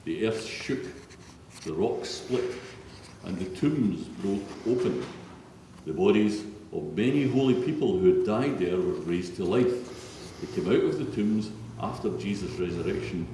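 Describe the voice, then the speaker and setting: A man narrates a solemn scripture reading. His voice is mellow and sits fairly low. He is heard from a distance in a very echoey church, with faint noise. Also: lively intonation, a little slow. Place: large echoey church interior.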